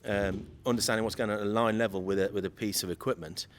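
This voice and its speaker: A man in his late forties with a slightly croaky voice, chatting as a guest on a podcast and often repeating words while he searches for them. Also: fairly low pitch, fairly even intonation, fast, slight background noise. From United Kingdom. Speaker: guest from an electrical equipment maker. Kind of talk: casual podcast chat.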